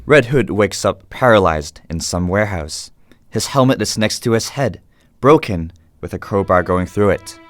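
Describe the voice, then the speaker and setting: A man's voice: fairly low pitch, expressive, moderate pace, lightly acted. A man reading scripted narration for a radio drama, setting each scene in present tense.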